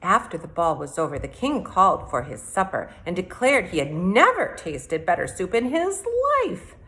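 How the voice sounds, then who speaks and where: Lower-pitched woman reading a storybook aloud. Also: very animated, normal speed, fairly bright tone. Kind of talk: expressive storybook read-aloud.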